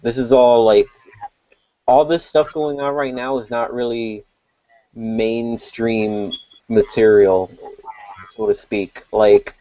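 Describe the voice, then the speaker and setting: A man in a casual online panel chat, speaking in a measured way and stammering as he starts his thoughts. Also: medium pitch, steady tone, dark tone. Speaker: panel guest. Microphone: video call. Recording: dry, lo-fi online call audio.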